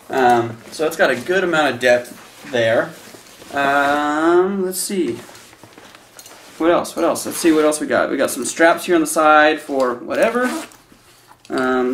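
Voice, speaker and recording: Man, 30s, enthusiastic chatty reviewer, a bit high-pitched, echoey small room. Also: a bit bright, slight background noise. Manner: enthusiastic, chatty, addresses viewers as guys. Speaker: product reviewer. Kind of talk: casual unboxing review. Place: small indoor room with a decorated Halloween backdrop.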